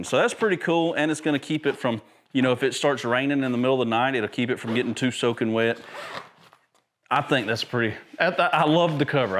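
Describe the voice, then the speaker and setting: A man's voice: mid-range voice, a bit bright, a little hiss or hum. A man, talking casually and enthusiastically to camera while demonstrating gear in a large garage workshop, with lots of fillers and restarts. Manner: chatty, enthusiastic, filler-heavy, restarts often. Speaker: YouTube camping and gear host. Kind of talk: casual product demo to camera. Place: large garage workshop with tool chests, indoors.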